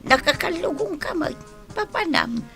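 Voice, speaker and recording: A woman's voice: very high voice, lively intonation, a little slow, over music. A woman with an Ilocano accent playing a sympathetic, lamenting older mother in a radio drama, in a plaintive, theatrical way.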